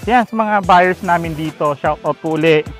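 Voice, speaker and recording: An upbeat man in his thirties gives a walking tour of an outdoor construction site, his voice slightly on the high side. He keeps up a chatty, salesy patter through a clip-on mic. Faint music plays underneath. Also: moderate pace. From Philippines. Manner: upbeat salesy patter with frequent "so ayan" fillers. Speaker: real estate agent and channel host. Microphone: clip-on lapel mic.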